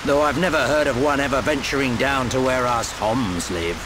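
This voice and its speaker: Male actor as seasoned gruff mentor in a game, worldly and teasing, mid-pitched, noisy backdrop. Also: moderate pace, natural voice. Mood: wry, knowing. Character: worldly, wry older male veteran adventurer, gruff mentor. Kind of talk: video game cutscene voice acting.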